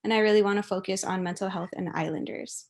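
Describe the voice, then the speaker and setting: A woman's voice: mid-range voice, fairly even intonation, fast talker. A young adult woman from Hawaii, giving an informal, chatty and giggly speech as a guest speaker. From Kauai, Hawaii.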